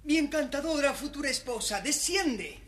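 An actor playing a courtly, lovestruck young prince in a florid way for a children's fairy-tale recording. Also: male voice, very high-pitched, animated, normal speed, background noise. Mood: adoring. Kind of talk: theatrical children's record storytelling.

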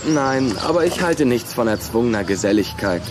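A man's voice: medium pitch, lively intonation, normal speed, music in the background, lightly acted. A male performer playing a cunning, secretive schoolboy in a radio play, talking in a precocious, mysterious manner. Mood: secretive, sly. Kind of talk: German radio play acting.

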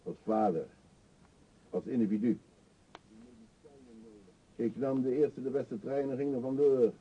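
An actor in a radio play voicing a middle-aged male vagrant, self-pitying and confessional, with a husky, halting, theatrical delivery. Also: mid-range voice, warm tone. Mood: ashamed, defeated. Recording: dull lo-fi radio play recording.

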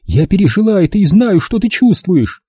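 Man putting on a high-pitched, distraught, bitter mother. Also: sing-song, normal speed, mellow, breathy edge, no room sound, studio quality, heavily acted. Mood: bitter, desperate. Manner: emphatic, reproachful, pressing with rhetorical questions. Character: distraught middle-aged mother, bitter, pleading, insistent. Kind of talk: audiobook character dialogue.

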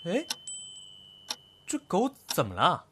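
An actor playing an earnest, loyal young vet assistant in a modern audio drama. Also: male voice, high-pitched, wildly swooping pitch, takes it slowly, noisy background, full character voice. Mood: concerned. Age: young adult.

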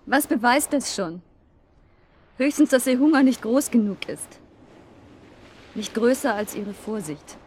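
Guarded, high-voiced woman, noisy ambience. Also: animated, a little slow, pauses often, a little mellow. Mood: dry, challenging. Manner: terse, dry, reserved. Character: guarded, cool, laconic woman being courted. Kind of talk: German radio drama acting.

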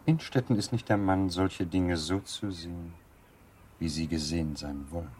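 An actor in a German radio play playing a gallant, teasing seducer who tells stories in a suave, theatrical way. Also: male voice, sits low, normal speed, a little mellow, a little hiss or hum, lightly acted. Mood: gallant, insistent. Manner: theatrical, suave, savouring storytelling.

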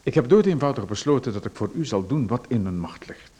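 A man's voice: medium pitch, lively intonation, quick pace, warm tone, slightly rough. An actor in a radio play voicing a pushy, ingratiating man who presses his help on someone and hints at danger. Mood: insinuating. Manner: ingratiating, insistent, with a veiled threat.

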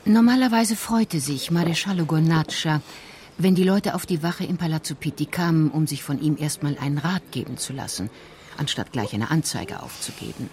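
A woman's voice: deep voice, lively intonation, moderate pace, darkish tone, a little hiss or hum. A woman narrator reading scripted crime-story narration for a radio drama.

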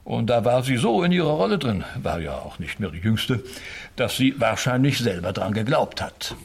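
An actor playing a gruff, worldly military gentleman in a radio play, gravelly and husky, speaking in blunt, clipped phrases. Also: mid-range voice, big pitch swings, moderate pace, a little hiss or hum. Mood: wry reminiscing.

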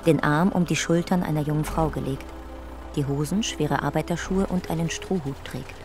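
A woman reading scripted narration in a measured, documentary manner. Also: low-pitched, music in the background, close to an everyday voice.

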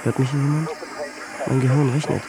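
A man's voice: medium pitch, fairly even intonation, brisk, lightly acted, low-quality recording. An actor playing a dazed, despairing man whose mind is crashing, speaking in bewildered fragments in a radio drama. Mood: tense countdown. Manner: short fragmented statements, bewildered. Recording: loud noise behind the voice.

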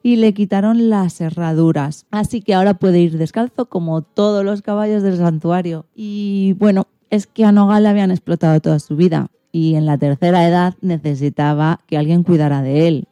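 A woman's voice: lowish voice, moderate pace, hardly any pauses, dark tone, clean tone, no room sound. A woman co-hosting a radio show, chatty and colloquial as she presents informally and addresses listeners directly.

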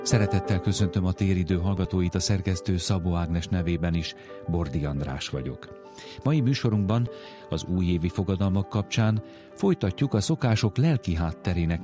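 A man with a deep voice hosts a radio programme. He reads prepared narration in a presenter's style, with music clearly playing behind him. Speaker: radio host.

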